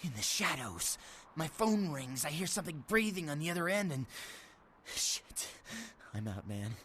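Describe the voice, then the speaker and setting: An actor playing a terrified, paranoid, sleazy informant in a horror video game, stammering and swearing. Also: male voice, fairly high pitch, big pitch swings, normal speed, a bit bright, slight background noise. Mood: frightened, paranoid. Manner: stammering, jittery, profane. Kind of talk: dramatic video game voice acting.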